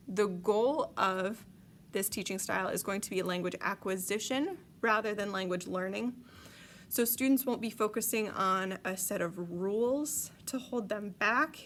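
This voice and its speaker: Woman, late twenties, from the Chicago area, French teacher, enthusiastic, chatty, mid-pitched, faint background hum. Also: a bit bright. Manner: enthusiastic, chatty, with frequent ums. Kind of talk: recorded video message to parents. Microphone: tablet device.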